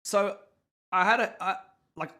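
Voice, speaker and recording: A man's voice: high-pitched, a little slow, sharp tone. Chatty man hosting a casual talk. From from abroad, living in New Zealand. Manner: chatty, loose, rambling with restarts. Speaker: podcast host. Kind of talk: casual chat.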